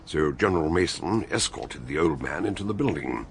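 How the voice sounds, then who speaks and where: Deep, gravelly English sleuth. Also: male voice, lively intonation, a little hiss or hum, heavily acted. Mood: measured, grave. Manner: courteous, deliberate, articulate. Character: measured, inquisitive learned male sleuth and storyteller. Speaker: investigator and narrator. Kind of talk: radio drama dialogue and narration.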